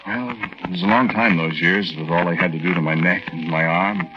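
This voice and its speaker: An American actor playing a nervous war veteran who tells his strange tale in halting, colloquial, confiding narration for a 1940s radio drama. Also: low-pitched, very animated, vocal fry, music in the background, heavily acted. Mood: confiding, uneasy. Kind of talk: 1940s radio drama monologue, conversational first-person narration. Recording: noisy, muffled 1940s radio recording with clicks.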